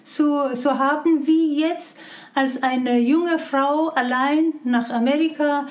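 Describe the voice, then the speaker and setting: High-voiced Iranian woman, halting. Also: steady tone, darkish tone. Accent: Persian. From Iran. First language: Persian. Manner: hesitant, many fillers and restarts in non-native German. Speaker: interview guest. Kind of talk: conversational interview.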